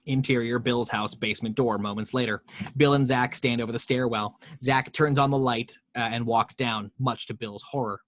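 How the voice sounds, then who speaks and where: A man's voice: medium pitch, fairly even intonation, normal speed, fairly bright tone, no echo. A man narrating screenplay action and scene directions as the reader in a scripted table read.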